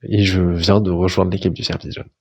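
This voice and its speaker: A man in his twenties calmly introducing himself and speaking about faith. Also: sits low, a little fast, slightly rough, highs cut off. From France. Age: 20s. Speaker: team member introducing himself. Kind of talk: prepared self-introduction.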